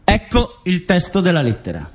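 An actor playing a methodical investigator in a documentary stage drama, presenting evidence in an emphatic, explanatory way. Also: male voice, high voice, huge pitch swings, normal speed, heavily acted. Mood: grave, explanatory. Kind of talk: scripted theatre recitation, documentary drama.

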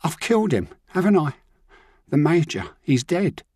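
A man's voice: medium pitch, normal speed, warm tone, no echo, studio quality, pitched above the performer's own voice, natural voice. A man reading an audiobook, voicing a desperate, sulky young man on the run, pleading and frightened.

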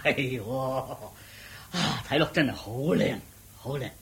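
Bossy king, Hong Kong Cantonese, swooping intonation, excitable, sighing, radio drama, echoey room. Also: medium pitch, slow, distant mic. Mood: relaxed, drowsy. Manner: excitable, sighing, shouting on the hunt. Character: bossy, easygoing, drowsy king out hunting.

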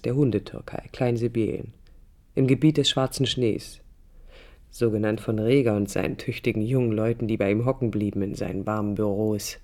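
A woman's voice: a bass voice, a little mellow, heavily acted. An actress playing a restless, idealistic young woman architect, narrating reflectively in a German radio drama. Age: young adult. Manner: reflective, literary, emphatic short phrases. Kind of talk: 1980s German radio drama, introspective first-person narration.

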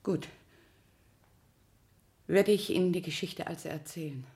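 An actress playing a severe, pious, guarded aunt in a German radio play, speaking in a clipped, indignant manner. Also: female voice, lowish voice, a little slow, dark tone. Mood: reluctant. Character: stern, upright, pious woman, guarded aunt.

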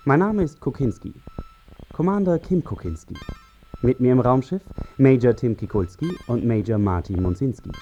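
An actor playing a commanding male astronaut and mission leader in a radio play, with declamatory, deliberate delivery. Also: medium pitch, expressive, close to an everyday voice. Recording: dull sound under loud music.

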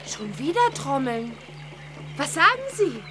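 A woman's voice: high voice, sing-song, slow, over music, exaggerated voice. A woman playing a plucky, cheeky young witch girl in a children's audio drama, with emphatic delivery. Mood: busy, matter-of-fact. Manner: emphatic, chants rhyming spells.

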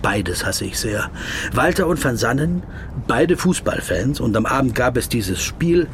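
A man's voice: mid-range voice, big pitch swings, measured pace, a little hiss or hum. An actor playing a stocky, fussy legal counsel who recounts a surreal trip in literary first person, savoring his words. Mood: wry, musing. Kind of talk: literary first-person narration in a radio play.

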